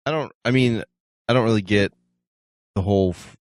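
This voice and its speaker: Low-voiced male co-host, casual. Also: moderate pace, dry recording, clean studio sound. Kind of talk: casual chat.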